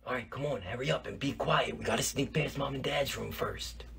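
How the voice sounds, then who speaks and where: A man's voice: a little high, wildly swooping pitch, brisk, crowd noise. A man playing a bossy, irritable teenage brother in a family comedy skit, giving curt orders. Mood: hushed, bossy. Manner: curt, commanding.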